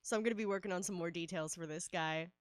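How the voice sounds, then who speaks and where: Female artist streamer, congested and nasal from a cold, lowish voice, dry mic, chatty and casual. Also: fast, no echo. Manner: chatty, laughs often, many fillers. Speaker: livestreaming artist. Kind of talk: casual streaming chat while drawing.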